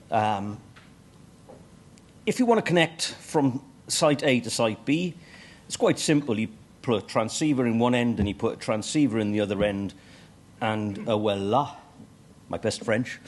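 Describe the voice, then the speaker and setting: A man's voice: medium pitch, a little mellow. A middle-aged man presenting a technical talk at a conference, with dry humour and frequent ums and uhs. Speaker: conference speaker. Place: conference hall stage.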